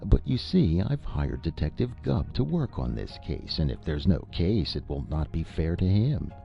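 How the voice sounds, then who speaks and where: A man's voice: deep voice, very animated, moderate pace, gravelly, pitched above the performer's own voice, full character voice. A man voicing a cheerful, cheeky young newspaperman who talks in a breezy, teasing way in a storybook reading. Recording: lo-fi with faint music.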